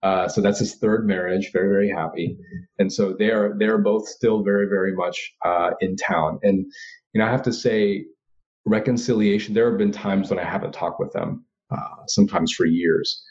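A man from Florida speaks as an interview guest in a low voice, earnest and reflective, often chuckling. The sound is a little muffled, as if the recording is lo-fi. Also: steady tone, normal speed, darkish tone. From Florida, USA. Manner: reflective, earnest, laughs lightly, acts out quoted people. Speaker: author and guest. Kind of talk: candid interview conversation.

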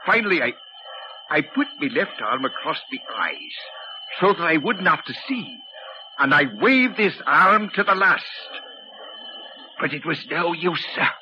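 An actor playing a shaken, respectful railway engine driver with emotional, theatrical 1940s radio delivery. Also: male voice, a little high, lively intonation, unhurried. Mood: anguished. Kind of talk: 1940s radio drama acting. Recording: dull noisy 1940s radio recording.

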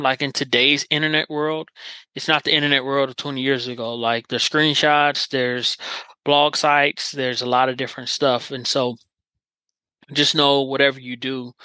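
Bright, earnest male podcast host. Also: medium pitch, dry recording, rough recording. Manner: encouraging, earnest, many filler phrases. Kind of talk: casual interview chat.

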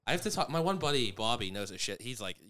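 A man's voice: mid-range voice, big pitch swings, fast talker, fairly bright tone. Rambling, swearing man in his thirties. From Western Pennsylvania. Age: 30s. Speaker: podcast host. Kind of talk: casual podcast chat.

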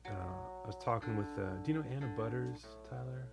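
A man's voice: medium pitch, fast talker, gravelly. A man, a guest musician, talking casually with a fellow player and rambling through stories with easy laughs. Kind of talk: casual chat between musicians. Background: guitar playing.

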